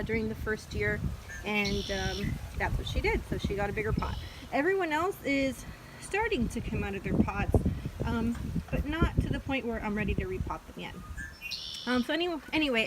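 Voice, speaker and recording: Hoarse woman vlogging from outdoors. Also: on the high side, very noisy. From Florida. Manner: chatty with frequent ums and uhs. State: hoarse, losing her voice. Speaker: orchid grower vlogger. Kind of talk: casual vlog walkthrough. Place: outdoors in a chilly backyard.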